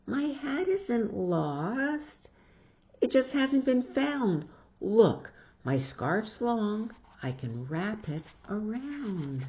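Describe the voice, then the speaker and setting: A woman voicing an eager, carefree little girl in a picture-book story, animated and pleading. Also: medium pitch, very slow, highs cut off, pitched above the performer's own voice, exaggerated voice. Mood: defiant. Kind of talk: picture-book read-aloud.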